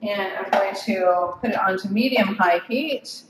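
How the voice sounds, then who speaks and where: A woman's voice: mid-range voice, measured pace, a little hiss or hum. Woman presenter, casual cooking demo, chatty with frequent fillers; echoey room, mic at a distance. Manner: chatty, many ums and restarts. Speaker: cooking demonstrator. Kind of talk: live cooking demonstration. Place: home office kitchen.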